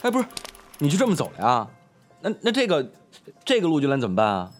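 Brash teenage boy, animated swings in pitch, slangy and teasing, audio drama with faint music. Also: fast talker. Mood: astonished, teasing. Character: brash, foul-mouthed teenage schoolboy, playful and teasing. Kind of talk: Chinese audio drama acting.

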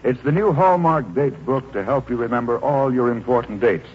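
A man's voice: mid-range voice, moderate pace, slightly rough. A man reading a 1940s radio advertisement with a persuasive sales delivery. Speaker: commercial announcer. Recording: muffled, noisy 1940s radio recording.

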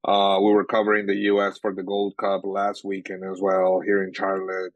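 Venezuelan guy, young adult, native Spanish speaker, low-ish voice, dry close mic, halting and filler-heavy. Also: completely flat delivery, dry recording, lo-fi sound. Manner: halting, many fillers and restarts. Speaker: sports journalist guest. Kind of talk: casual interview answers.

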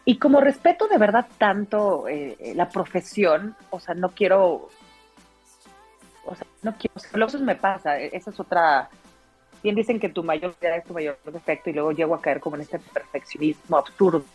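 A Mexican woman in her thirties with a deep, full-bodied voice, telling personal stories casually as an interview guest, in an expressive way. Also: moderate pace, husky. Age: 30s. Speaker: interview guest, radio announcer. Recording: dull lo-fi sound, music underneath.

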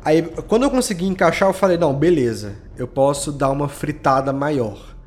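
A man's voice: on the high side, expressive, a little fast. A Brazilian man chatting casually as a podcast guest, explaining his creative work in informal, slangy speech. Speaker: podcast guest, audio drama creator and editor. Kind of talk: casual interview chat.

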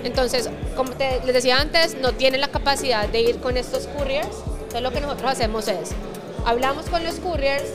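A woman in her thirties with a Colombian accent, a startup founder talking casually in an interview and sprinkling in English business terms. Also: sits high. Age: 30s.

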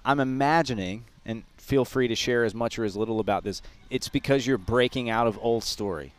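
A man's voice: mid-range voice, a little fast. A man interviewing in a conversational way, thoughtfully summing up and restarting phrases as he feels for the words. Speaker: interviewer, podcast host.

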